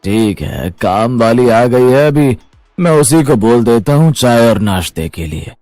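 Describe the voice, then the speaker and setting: A man's voice: medium pitch, expressive, unhurried, darkish tone, dry recording, soft background music, natural voice. A man voicing a demanding old father-in-law, grumbling and bossy, in a cartoon moral story. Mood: grumbling, stern. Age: older. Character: demanding old father-in-law, strict, self-satisfied patriarch.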